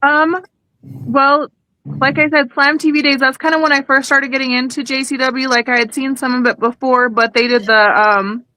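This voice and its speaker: A woman's voice: high voice, level tone, normal speed, bright, forceful tone, low-quality recording. A woman guesting on a podcast, chatting casually in a slangy, sweary way. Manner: slangy, sweary, says "like" often. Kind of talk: casual chat.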